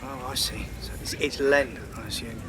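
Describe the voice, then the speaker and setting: A man's voice: medium pitch, fairly even intonation, normal speed, close to an everyday voice. Actor as sardonic policeman, noisy street behind. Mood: weary. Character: dry, officious male policeman, sardonic and patient. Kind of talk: radio comedy acting.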